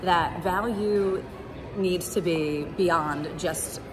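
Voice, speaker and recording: A woman in her thirties answering interview questions in a friendly, measured way with occasional pauses, recorded on a phone held selfie-style in a large indoor lobby. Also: lowish voice. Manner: friendly, measured, a bit halting. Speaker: guest, banker. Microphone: host's phone held selfie-style. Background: background music.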